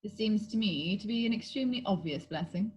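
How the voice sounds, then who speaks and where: A woman's voice: mid-range voice, expressive, fairly quick, darkish tone, lo-fi sound, close to an everyday voice. A woman playing a prim, moralising Victorian governess in an amateur play read-through. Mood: disapproving. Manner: moralising, starchy. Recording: faint hum on video call.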